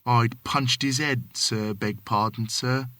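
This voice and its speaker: A man's voice: mid-range voice, steady tone, slow, sharp tone, no room sound. A man voicing a nervous, deferential young Cockney servant who keeps calling his master sir. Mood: indignant.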